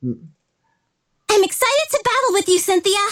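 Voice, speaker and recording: A woman's voice: very high-pitched, very animated, takes it slowly, fairly bright tone, full character voice. A woman voicing an eager young girl Pokémon trainer in anime-style game lines. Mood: eager, excited.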